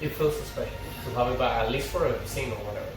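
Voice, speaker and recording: A man's voice: medium pitch, fast, over music. Young male fan, casual and rambling, enthusiastic; distant mic, echoey room. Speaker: fan discussing a TV series. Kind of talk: casual chat. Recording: roomy, distant mic with loud background noise.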